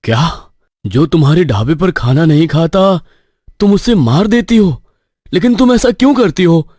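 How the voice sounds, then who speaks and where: A man's voice: a little high, expressive, moderate pace, dark tone, dry recording. Man playing a nervous stationmaster, dry sound. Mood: shocked. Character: nervous young male stationmaster from the city, sceptical, placating. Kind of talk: cartoon horror story acting.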